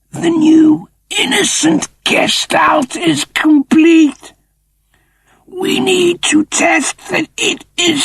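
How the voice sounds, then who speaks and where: A man voicing a cold, officious chimp-like creature in a strained, creaky falsetto, with slow, pompous delivery in an audiobook. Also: fairly bright tone, no echo, exaggerated voice. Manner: slow, deliberate, pompous pronouncements.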